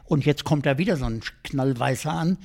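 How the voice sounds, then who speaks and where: An older man, a guest in an interview, telling his life story in a lively, colloquial way with many fillers and restarts. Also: mid-range voice, fairly quick, vocal fry. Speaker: guest adventurer.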